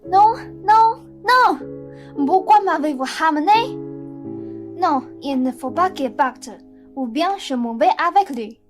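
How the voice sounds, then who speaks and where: Woman playing a high-voiced lovestruck girl. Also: lively intonation, takes it slowly, soft background music, full character voice. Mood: pleading. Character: lovestruck, defiant, naive young woman. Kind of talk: radio play acting.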